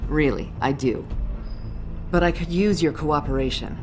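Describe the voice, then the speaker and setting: A woman voicing a patient, coaxing police detective in an adventure game, measured and placating. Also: low voice, music in the background, natural voice. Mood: coaxing, sympathetic. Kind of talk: video game voice acting.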